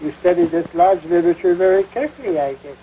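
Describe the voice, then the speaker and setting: A man's voice: high-pitched, quick pace, dark tone, lightly acted. An actor playing a pompous, long-suffering American husband and father in a domestic comedy, speaking formally with dry sarcasm. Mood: aloof, irritated. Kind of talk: domestic radio-style situation comedy. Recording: noisy vintage broadcast recording.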